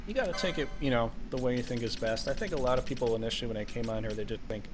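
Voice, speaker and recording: Brief: mid-pitched male host, rambling casual talk, game music behind. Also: racing. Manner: rambling, frank, self-interrupting. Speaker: channel host. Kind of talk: casual chat over gameplay.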